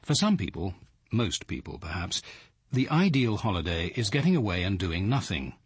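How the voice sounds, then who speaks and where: A reserved English detective with a poet's reflective streak. He speaks in a measured, understated radio drama style, with dry wit. The voice is husky and varied in pitch. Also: sing-song, no echo, exaggerated voice. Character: reserved, dryly witty male detective who writes poetry. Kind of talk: British radio drama acting and reflective narration.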